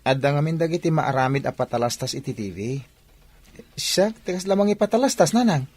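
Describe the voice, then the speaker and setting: A man's voice: on the high side, expressive, brisk, a little mellow. A man playing an eager, respectful young athlete and devoted son in an Ilocano radio drama. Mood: proud, cheerful. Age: young adult.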